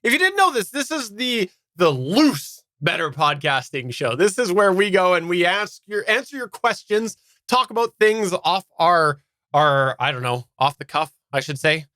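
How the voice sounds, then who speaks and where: Bright-voiced man co-hosting a podcast. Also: sits high, big pitch swings, normal speed, no echo. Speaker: podcast co-host. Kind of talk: casual live podcast chat. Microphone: close microphone on a boom arm.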